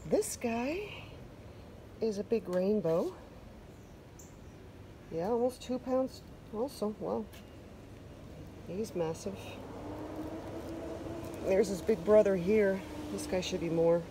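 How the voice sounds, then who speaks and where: A woman's voice: mid-range voice, expressive, slow, long pauses, background noise. A Canadian woman chatting casually through a home garden vlog, loose and self-deprecating, with plenty of fillers. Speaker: vlogger. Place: covered wooden deck outdoors.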